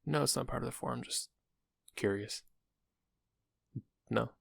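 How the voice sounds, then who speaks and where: An actor playing a friendly but subtly sinister dental receptionist in an audio roleplay, casual and polite, with a husky, gravelly voice. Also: male voice, mid-range voice, a little slow, no echo, clean studio sound. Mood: knowing, insinuating. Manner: casual, polite, quietly insinuating. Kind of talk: intimate audio roleplay acting.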